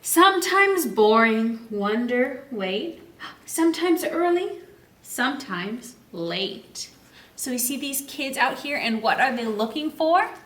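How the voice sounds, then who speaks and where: High pitched lady doing story time for kids, asks questions n answers them, roomy echo, mic kinda far away. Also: a bit bright. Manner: simple teacherly phrasing, asks and answers own questions. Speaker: children's librarian reading a picture book aloud. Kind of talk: children's story time read-aloud with questions and explanations. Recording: echoey room, distant microphone.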